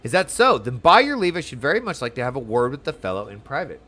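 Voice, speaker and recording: A man's voice: mid-range voice, sing-song, fast talker, sharp tone. A man reading aloud the lines of a formal, sardonic fantasy game leader in stately, archaic phrasing. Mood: stiffly polite.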